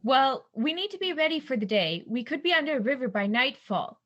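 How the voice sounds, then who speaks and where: Woman playing a high, bright, bossy teasing wife. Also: animated. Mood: teasing, probing. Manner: teasing, brisk, commanding. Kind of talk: amateur audio drama acting.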